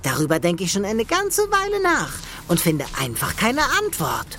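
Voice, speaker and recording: Wildly animated young grasshopper. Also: female voice, on the low side, moderate pace, a little hiss or hum, full character voice. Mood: puzzled. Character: brave, curious young male grasshopper. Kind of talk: children's audio drama acting.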